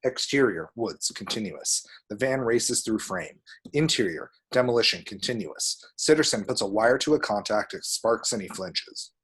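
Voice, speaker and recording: A man narrates the action lines of a screenplay at a table read. His voice sits fairly low and has a husky, unpolished grain. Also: lively intonation, normal speed, a bit bright.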